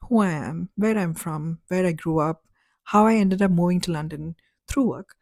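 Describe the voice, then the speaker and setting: Woman, 30s, from Dubai; low-ish, mellow voice, candid storyteller, dry close sound. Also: normal speed, creaky, dry recording. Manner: candid, reflective, conversational with fillers like "like" and "you know". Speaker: vlogger. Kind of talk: personal story vlog.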